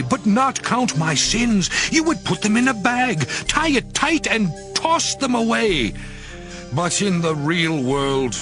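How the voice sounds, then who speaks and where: A man's voice: sits high, exaggerated voice. An actor playing an anguished, suffering man who pleads bitterly and emotionally with God in a dramatized scripture production. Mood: bitter despair.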